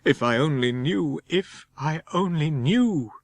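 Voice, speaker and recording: Man as a hushed, frightened young husband. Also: a little high, animated, takes it slowly, dry recording, voice pushed up. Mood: terrified amazement. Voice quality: breathy, hushed. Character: frightened, breathless young husband, half in terror. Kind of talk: dramatic audiobook reading.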